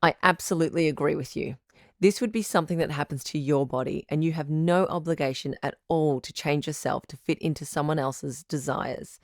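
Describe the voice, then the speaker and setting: A woman playing a calm, supportive radio advice doctor in an audio drama, speaking in a measured, articulate, professional counselling tone. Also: deep voice, dry recording, studio quality. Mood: measured, advising. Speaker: radio advice show host, sex therapist.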